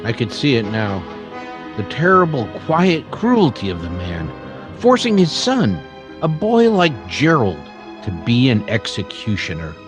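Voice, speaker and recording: Guy doing troubled townsman narrating a radio western, deliberate, very expressive, bit of music under. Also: medium pitch. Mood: grave, haunted.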